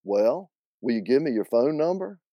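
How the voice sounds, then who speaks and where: A man's voice: medium pitch, sing-song, normal speed, dark tone, no echo, pitched above the performer's own voice. A man voicing an easygoing, flirty country fellow with a thick North Carolina accent, in a told story.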